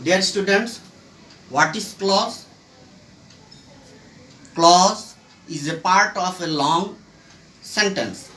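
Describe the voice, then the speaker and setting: A teacher explaining English grammar step by step, in short halting phrases broken by pauses. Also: medium pitch, bright, forceful tone. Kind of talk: slow explanatory grammar lesson. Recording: roomy echo, distant microphone.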